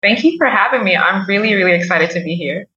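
A woman from Liberia telling her own story as an interview guest, in a measured, emphatic way. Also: mid-range voice, lively intonation, sharp tone, echoey room, far from the mic, dull audio. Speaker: podcast guest, coach.

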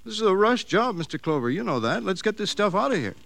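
An actor playing a chatty, impatient demolition boss with a folksy, pressing manner in an old radio crime drama. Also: male voice, high voice, sing-song, fast talker, gravelly, exaggerated voice. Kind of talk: 1940s-50s radio drama acting.

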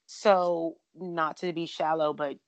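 Raspy-voiced woman hosting a chatty podcast. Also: low voice, fairly even intonation, no echo. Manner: chatty, fast-talking with many "like" and "literally". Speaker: podcast host. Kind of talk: casual chat. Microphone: remote call, cutting out at times.

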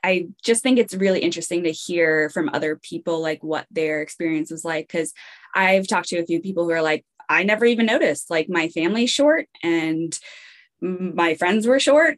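A young woman hosting a podcast, reading an upbeat intro and then chatting casually, saying "like" a lot. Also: normal speed. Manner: upbeat, bouncy pitch, says "like" a lot. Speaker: podcast host.

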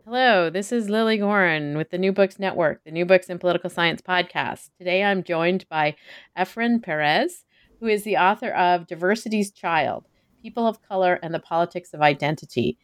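Podcast host lady, smooth low-ish voice, dead dry sound, measured intro. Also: no echo.

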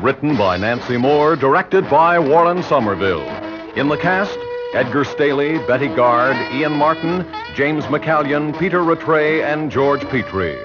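A man working as a radio announcer, reading credits in a formal, measured, polished old-time style. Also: a little high. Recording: dull old radio recording. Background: orchestral music.

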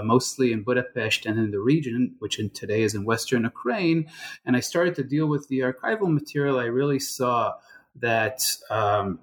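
A middle-aged man from Israel, a scholar answering interview questions slowly, with many fillers and restarts. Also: medium pitch. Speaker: guest scholar.

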